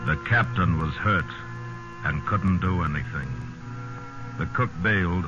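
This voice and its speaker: A grave storyteller narrates a sea survival tale in very low, solemn, measured tones. The style is dramatic 1950s radio. The recording is dull and old, with loud music underneath. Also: male voice.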